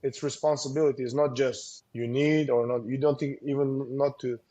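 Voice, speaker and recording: Halting coach with a mellow voice. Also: male voice, medium pitch, level tone. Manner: halting, with many fillers and restarts; earnest advice-giving. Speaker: combat sports coach, guest. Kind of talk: casual interview talk.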